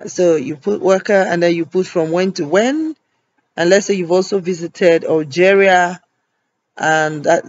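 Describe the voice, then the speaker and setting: A man's voice: a little high, muffled recording. Man patiently explaining a form, step by step. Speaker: tutorial host. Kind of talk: screen-recorded form walkthrough. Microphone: voice-over on a screen recording.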